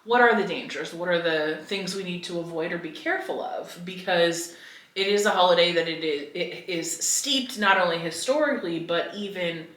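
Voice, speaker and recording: American female podcast co-host, lowish bright voice, informal chat style, roomy echo on the recording. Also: moderate pace. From American Midwest. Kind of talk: casual faith discussion.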